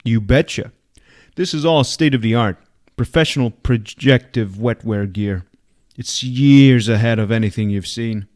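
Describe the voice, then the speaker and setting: A man's voice: medium pitch, unhurried, a little mellow, lightly acted. Man reading as an intense, brash woman hacker. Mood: proud.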